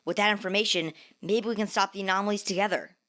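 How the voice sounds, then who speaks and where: A snappy teenage boy from a gang, as a woman narrator plays him. His voice is mid-pitched with a tense, bright edge, and he argues sarcastically through a dramatised story. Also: fairly even intonation, fast, natural voice. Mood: defensive. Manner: sarcastic, argumentative. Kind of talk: dramatised fanfiction reading.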